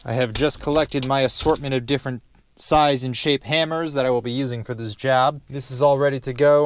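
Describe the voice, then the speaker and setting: A man's voice: mid-range voice, clean tone, dry recording. A young man narrating a casual DIY how-to vlog inside a car, with a wry, self-deprecating manner. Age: young adult. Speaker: DIY car project presenter.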